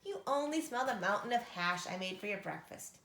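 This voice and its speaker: Giantess for a fairy tale, voiced by a woman reader: bossy, scolding, creaky, recorded in a roomy space. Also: mid-range voice, lively intonation, brisk, a bit bright. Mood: placating.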